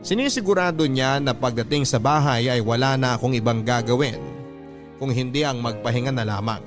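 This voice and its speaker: Guy reading his love-story letter out, heartfelt, music playing loud under him. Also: medium pitch, fairly quick. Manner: heartfelt, addresses the host directly. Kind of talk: radio drama narration. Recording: music bed under narration.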